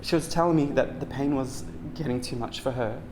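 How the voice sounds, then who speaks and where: A young man from Cambodia, telling his story as a business founder in a soft, earnest documentary narration with frequent pauses. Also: medium pitch, a little hiss or hum. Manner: soft-spoken, earnest, pausing with frequent ums. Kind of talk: documentary testimony and reflective narration.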